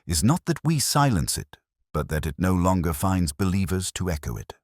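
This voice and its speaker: Grave philosophical detective played by a male narrator, very low, deliberate, expressive pitch, for mystery audiobook. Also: dry recording, clean studio sound. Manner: deliberate, aphoristic, solemn.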